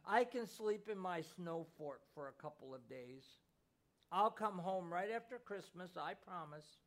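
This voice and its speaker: A man's voice: high voice, animated, a little slow. A man voicing a small boy who talks through tears, choked and sniffling. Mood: tearful. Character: upset little boy, tearful and stubborn.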